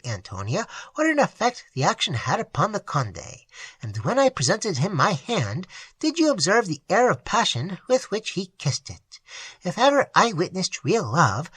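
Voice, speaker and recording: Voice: mid-range voice, slightly rough, no echo, pitched above the performer's own voice, full character voice. A reader voicing a boastful, vain older aunt who gushes about her admirers, in an audiobook. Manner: gushing, self-flattering chatter. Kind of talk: audiobook character voice.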